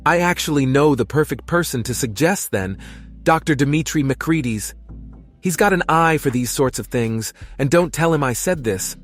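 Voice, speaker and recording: A man's voice: fairly high pitch, expressive, normal speed, soft background music. A man playing a helpful, affable school principal in a role-played phone call, reassuring and conversational.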